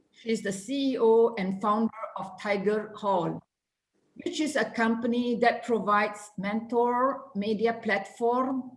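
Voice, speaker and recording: Slow, careful woman in an echoey room. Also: mid-range voice. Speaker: club member introducing the speaker. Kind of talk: formal introduction at a club meeting. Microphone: video call microphone.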